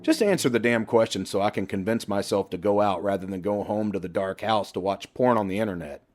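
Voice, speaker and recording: A man's voice: on the low side, a little fast, a little mellow, natural voice. A man voicing a troubled middle-aged husband in an audiobook story, earnest and easily exasperated.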